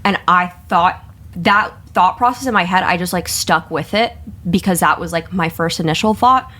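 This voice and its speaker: Young woman, American, slightly low voice, candid and chatty podcast host who tells stories freely. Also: moderate pace. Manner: candid, animated storytelling with quoted asides and heavy swearing. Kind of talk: casual podcast chat.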